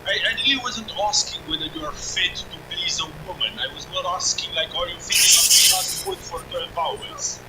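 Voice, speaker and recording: A man's voice: high voice, fairly even intonation, normal speed, hardly any pauses, heavy background noise. A man whose first language is Estonian, joking and explaining things in casual voice chat with gaming friends.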